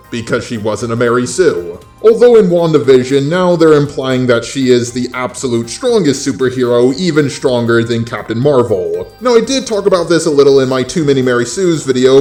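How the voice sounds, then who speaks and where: A man's voice: a little high, a little mellow. A synthetic, robotic male voice reading an opinionated, tongue-in-cheek scripted video essay. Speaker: host of a video essay channel.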